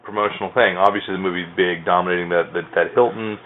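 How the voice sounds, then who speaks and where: Guy hosting a podcast, lowish voice, casual chat, tinny audio w a bit of noise. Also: fairly even intonation, fast talker. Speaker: podcast host. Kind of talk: casual conversation about comics. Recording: phone-like lo-fi sound, faint noise.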